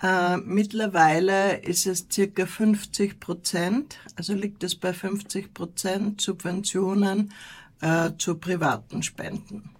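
A woman with an Austrian accent giving measured answers in an interview as a representative of a charity. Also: medium pitch, steady tone.

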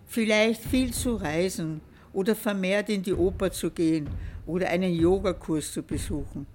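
A woman's voice: lowish voice, moderate pace, darkish tone. Elderly lady late 70s, prepared statement, slight noise in the background. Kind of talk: prepared personal testimonial.

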